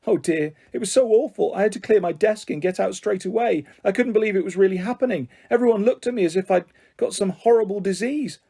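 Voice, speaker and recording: A man's voice: high-pitched, fast talker, creaky, hardly any pauses, mellow, husky, voice pushed up, exaggerated voice. A man reading the part of a middle-aged, old-fashioned mother with an earnest, wavering way of talking. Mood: tearful.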